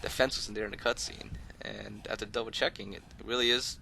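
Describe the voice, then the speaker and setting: A man with a fairly low but bright, forward voice hosts a gameplay tutorial. He rambles along conversationally, explaining things in an unscripted, easygoing way, with light noise behind him. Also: steady tone, brisk, gravelly, poor audio. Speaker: host of a gaming video channel.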